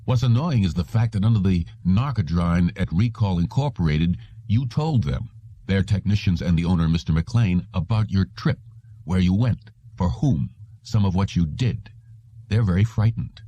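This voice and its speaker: A man voicing a calm, menacing policeman of the future in an audiobook, measured and smug. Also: low voice, slightly rough, no room sound. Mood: coolly menacing.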